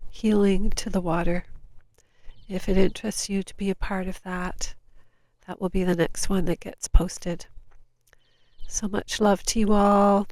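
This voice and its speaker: A woman with a stuffed-up, nasal voice, outdoors on a beach, guiding a spiritual session in soft whispered chants and calm talk. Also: fairly high pitch, dry recording, poor audio. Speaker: spiritual guide. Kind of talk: guided light language session.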